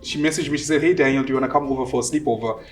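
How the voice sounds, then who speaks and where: Male, twenties, Southern African accent, animated casual storyteller in an interview, faint guitar music behind. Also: medium pitch, very fast. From Zimbabwe and South Africa. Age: 20s. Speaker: interviewee telling his relationship story.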